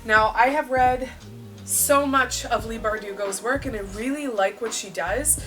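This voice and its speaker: A woman book vlogger chatting casually to camera, a little croaky after a cold, self-deprecating and rambling. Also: on the high side, measured pace. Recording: distant voice with clear music behind.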